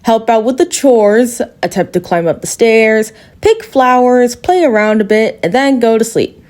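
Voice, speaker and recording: A woman's voice: fairly high pitch, animated, a little slow, a little mellow. A woman narrating a fairy tale in a casual, chatty way with playful asides. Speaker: storyteller.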